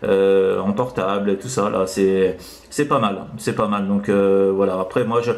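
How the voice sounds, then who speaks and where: A man with a fairly low voice talks casually over amateur radio. He rambles hesitantly, tacking little tags onto his phrases. The room around him echoes clearly. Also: level tone, unhurried, a little mellow. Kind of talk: casual radio contact chat. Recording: roomy, echoing room.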